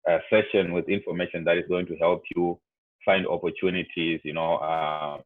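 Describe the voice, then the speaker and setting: Young Zimbabwean man, low voice, chatty and rambling student speaker, dry tinny video-call sound. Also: little pitch movement, normal speed, dry recording. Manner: rambling, heavy on "like" and "you know". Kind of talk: casual talk to students over a video call.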